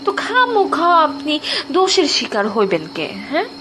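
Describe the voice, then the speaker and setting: High-voiced, distraught poor Bangladeshi woman acted by a woman. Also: huge pitch swings. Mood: pleading, bitter. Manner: stammering, repeats words, drawn-out. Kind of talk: emotional audio drama acting. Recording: music behind the voice.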